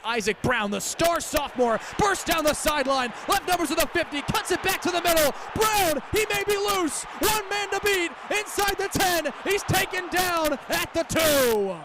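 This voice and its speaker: A young man does live radio play-by-play of American football in a distinctly high voice. His delivery is crisp and packed with detail. Stadium ambience sits faintly behind him. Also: moderate pace, few pauses. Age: young adult. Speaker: play-by-play sports announcer. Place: stadium game broadcast. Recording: live outdoor broadcast with background noise.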